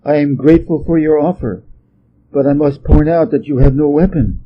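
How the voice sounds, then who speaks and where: A man's voice: fairly high pitch, normal speed, highs cut off, slight background noise, close to an everyday voice. A man voicing an imperious celestial emperor in a storybook, with a formal, commanding manner. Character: stately male celestial emperor, imperious and easily dismayed.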